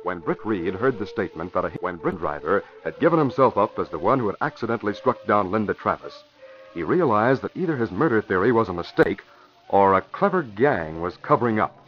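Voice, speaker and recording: Animated American man announcing on vintage radio. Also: fairly low pitch, brisk, slight background noise. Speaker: announcer and narrator. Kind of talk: 1940s radio announcing and scripted narration. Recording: dull vintage radio recording.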